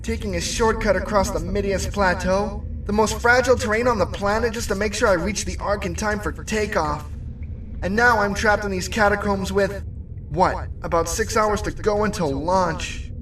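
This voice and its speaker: A man playing a neurotic, self-deprecating robot who narrates his own troubles in an animated comic. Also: high-pitched, moderate pace, recorded from a distance, a little hiss or hum, poor audio. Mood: self-loathing, exasperated. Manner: self-mocking, dramatic.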